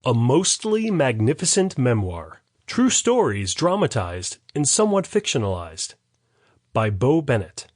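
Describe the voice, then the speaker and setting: A man's voice: mid-range voice, huge pitch swings, measured pace, no room sound, clean studio sound. A man reading scripted narration as the author of an audiobook.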